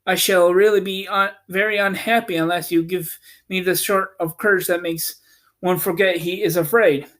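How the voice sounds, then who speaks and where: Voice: mid-range voice, fairly even intonation, normal speed, fairly bright tone, close to an everyday voice. A reader voicing a cowardly male lion from a storybook, worried and pleading for courage. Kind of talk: storybook character reading.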